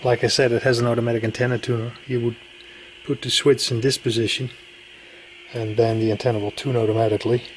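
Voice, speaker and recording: Male hobbyist demonstrator, mid-range voice, informal and slightly halting, some background noise. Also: medium pitch, level tone, rough recording. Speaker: radio enthusiast presenting equipment. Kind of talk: casual hands-on demonstration narration.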